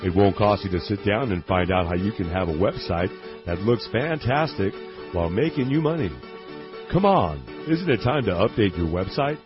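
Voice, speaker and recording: A man's voice: lowish voice, lively intonation, normal speed. A man reading a scripted radio advertisement in a persuasive, emphatic way. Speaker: radio ad announcer. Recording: dull radio ad with music.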